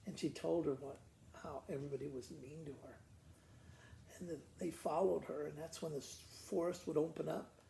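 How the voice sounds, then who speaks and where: A man's voice: on the high side, fairly even intonation, moderate pace, creaky, warm tone. A man telling a children's story in a simple, conversational way, informally explaining it as he goes. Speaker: school principal reading to pupils. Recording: roomy echo, faint background noise.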